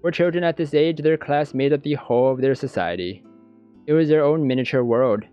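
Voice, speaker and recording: Crisp-voiced man reading aloud. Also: a little high, brisk. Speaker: let's-play reader. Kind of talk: reading visual novel narration aloud with brief reactions. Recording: dull lo-fi sound, music behind.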